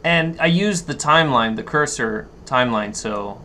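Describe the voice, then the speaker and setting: A man giving an unscripted software walkthrough, thinking aloud and haltingly explaining each step as he goes. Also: medium pitch, animated. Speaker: tutorial instructor. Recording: noticeable background noise.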